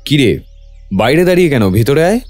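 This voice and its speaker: A man playing a brisk, authoritative police detective in an audio drama, curt and guarded in his questioning. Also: medium pitch, very animated, darkish tone, faint music behind. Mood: firm, curt. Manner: curt, questioning, mixes in English phrases. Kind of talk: acted dialogue with background music.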